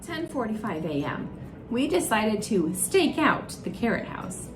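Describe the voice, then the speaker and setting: A woman storyteller narrating a children's storybook aloud. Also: fairly high pitch. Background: music.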